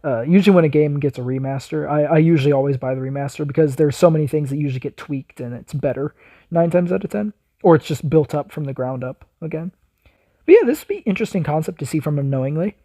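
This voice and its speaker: A man's voice: fairly high pitch, lively intonation, fast talker, dark tone, no echo. A man hosting a reaction video, giving casual off-the-cuff commentary.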